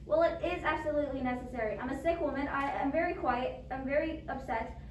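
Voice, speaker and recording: A woman's voice: sits high, quick pace, lightly acted. A woman acting a frightened, demanding, self-pitying bedridden wife in an amateur radio play, fretful and melodramatic. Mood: nervous, insistent. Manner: imperious, fretful, melodramatic pleading. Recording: roomy, distant microphone with faint noise.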